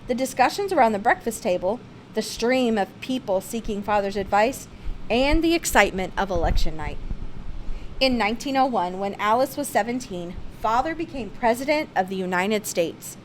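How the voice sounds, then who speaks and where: Female storybook reader, higher-pitched and expressive, with light background noise. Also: moderate pace, poor audio. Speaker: storyteller reading a picture book aloud. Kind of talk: read-aloud children's storybook narration.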